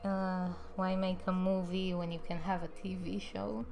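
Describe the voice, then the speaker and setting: A woman's voice: on the low side, flat delivery, measured pace, gravelly, a little mellow, soft background music. A young woman with an Israeli accent chatting casually on her drawing live stream, rambling with frequent ums while answering viewers. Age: young adult.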